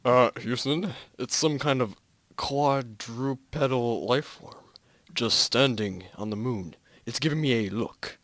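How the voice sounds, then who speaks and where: A man's voice: medium pitch, slow pace, fairly bright tone, no room sound. A man playing a nervous American astronaut who is alarmed and hesitant as he reports from the moon in an amateur radio-play style reading. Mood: uneasy, bewildered. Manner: hesitant, panicky delivery.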